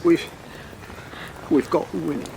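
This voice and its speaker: A subdued, grieving husband in a radio drama, speaking haltingly. His voice is a little high for a man, with clear noise behind it. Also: dark tone, low-quality recording. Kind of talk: audio drama acting.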